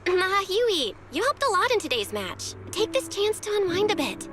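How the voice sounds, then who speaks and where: Very high-voiced, composed young guide. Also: female voice, sing-song, moderate pace. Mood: generous, teasing. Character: composed, caring young woman guide, gently teasing and determined. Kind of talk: video game story voice acting. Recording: music behind the voice.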